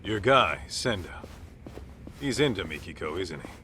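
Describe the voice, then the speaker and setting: A man's voice: low voice, sing-song, unhurried, sharp tone, slight background noise, heavily acted. A man playing a brash, streetwise private detective in a video game, talking bluntly in casual slang. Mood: teasing. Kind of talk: video game cutscene dialogue.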